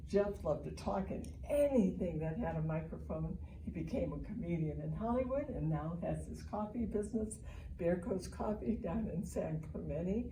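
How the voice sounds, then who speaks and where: An older American woman with a slightly low voice teaches families in an informal online lesson. She explains in measured phrases, leaning on key words. The mic is at a distance and there is clear noise in the recording. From Chicago, United States. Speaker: literacy educator leading online family reading sessions.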